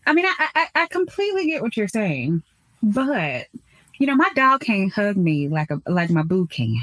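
A woman in her thirties co-hosting a podcast, talking in an animated and chatty way. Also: medium pitch, normal speed, no echo, rough recording. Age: 30s. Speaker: podcast co-host. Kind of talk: podcast conversation.

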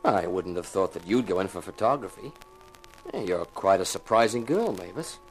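An actor playing a hard-boiled, cool-headed investigator who also narrates a 1950s radio mystery in a wry, matter-of-fact way. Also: male voice, deep voice, animated, normal speed, slight background noise, low-quality recording. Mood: wry, probing. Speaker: narrator and lead.

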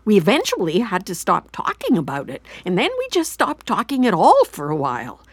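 An actress playing an anxious, regretful middle-aged mother in an audio drama, halting and justifying herself as she confesses. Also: mid-range voice, huge pitch swings, breathy edge, no echo. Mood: regretful, explaining. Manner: halting, self-justifying, repeats and trails off. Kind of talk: naturalistic audio drama acting.

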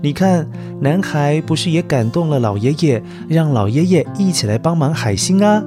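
Higher-voiced Taiwanese man talking to children. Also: lively intonation, moderate pace, music in the background. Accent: Taiwanese Mandarin. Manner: gentle coaxing, simple explanatory talk to children. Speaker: children's story podcast host. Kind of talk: storytelling addressed to young children.

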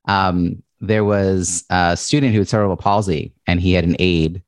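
A male podcast host, chatty and expressive, introducing and talking with a guest. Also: low voice, normal speed, no echo. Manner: chatty, says he has a loud voice and big presence. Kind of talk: interview podcast.

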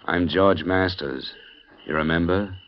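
Deep, dry hard-boiled investigator narrating, muffled old radio with music behind. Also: male voice, a little slow. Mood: tense. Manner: clipped, wry detective narration. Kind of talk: 1950s radio drama narration.

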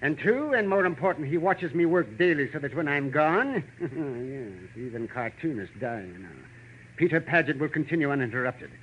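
Fussy, crabby cartoonist, swooping pitch. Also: male voice, expressive, normal speed, breathy edge. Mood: brisk, self-important. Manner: imperious, fussy, impatient. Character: tall, gruff, crabby veteran male cartoonist. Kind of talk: 1940s radio drama acting. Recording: muffled, noisy vintage radio recording.

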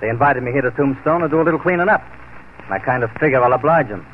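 A man's voice: mid-range voice, lively intonation, rapid-fire, lightly acted. An actor playing a fearless, principled frontier lawman in a 1940s Western radio drama, speaking firmly, dryly and plainly. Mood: dry, resolute. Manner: firm, dry, plain-spoken. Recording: muffled, noisy 1940s radio recording.

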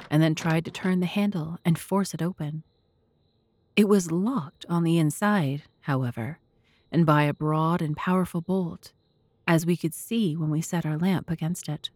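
Low-voiced woman narrator, dry close sound. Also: a little mellow, dry recording. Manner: measured, literary storytelling. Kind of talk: scripted first-person narration of a classic mystery audio drama.

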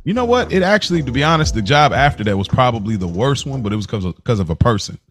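A man's voice: mid-range voice, fast talker, soft background music. An American man chatting casually as a podcast co-host, telling stories in slangy speech and acting out what people said. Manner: slangy, animated retelling with acted-out quotes.

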